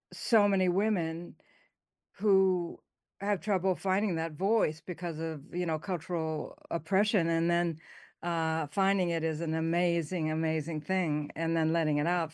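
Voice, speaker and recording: Soft-spoken woman poet, dry sound. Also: on the low side, dry recording. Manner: soft-spoken, laughs often, halting with many fillers. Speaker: poet and author, interview guest. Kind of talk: casual interview conversation.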